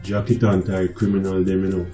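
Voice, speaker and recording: A cheeky Jamaican street man , played by a male actor, in a radio drama. His voice is on the low side and quick to tease or flare up. It sounds very echoey, with music playing behind him. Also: little pitch movement, natural voice. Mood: indignant. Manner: colloquial, jeering banter. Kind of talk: radio drama dialogue in Patois.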